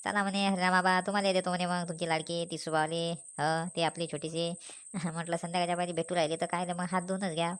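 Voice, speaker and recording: A woman's voice: fairly low pitch, completely flat delivery, fast talker, clear, resonant tone, lightly acted. A woman voicing a roguish old village man in a comic cartoon, chatty and rambling, with a rural Maharashtrian accent. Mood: jokey.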